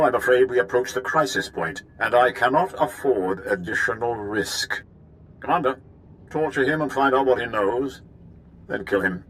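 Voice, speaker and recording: Man doing a cold formal old-guard admiral villain, measured n menacingly polite, game cutscene, faint noise. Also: medium pitch, a bit bright, natural voice, poor audio. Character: formal, ruthless, scarred veteran Imperial admiral man. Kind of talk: dramatic video game voice acting.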